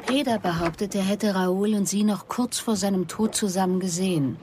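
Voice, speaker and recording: A woman's voice: mid-range voice, normal speed, noisy background, lightly acted. A woman playing a persistent police investigator in a German radio drama, asking controlled, pointed questions. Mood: insistent.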